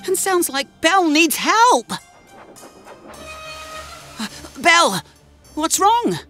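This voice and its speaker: A woman's voice: very high-pitched, very animated, slow pace, fairly bright tone, heavily acted. A woman voicing a cheerful, eager young boy train in a children's cartoon, bouncy and earnest. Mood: helpful. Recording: music under the voice.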